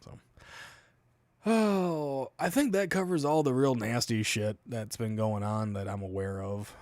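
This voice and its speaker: A man's voice: mid-range voice, unhurried, a little mellow, no echo. A man with an Albertan Canadian accent, hosting a livestream and rambling casually, with loose, profane asides. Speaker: livestream host.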